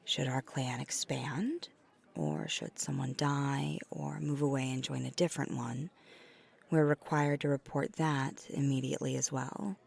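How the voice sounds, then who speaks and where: A woman plays a flirty, caring female vampire in an intimate ASMR audio roleplay. Her voice sits low, with a soft creak and a breathy edge that nears a whisper. She talks slowly and gently, halting as she searches for words. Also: steady tone, full character voice. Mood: earnest, explaining. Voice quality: breathy, near-whispered in places. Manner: soft-spoken, often breathy, hesitant and halting.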